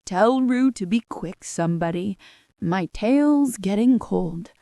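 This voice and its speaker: Woman reading as mopey old donkey, slow sulky drawl, a bit gravelly. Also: medium pitch, expressive, dark tone, dry recording, studio quality. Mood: grumbling. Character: gloomy old grey donkey, grumbling.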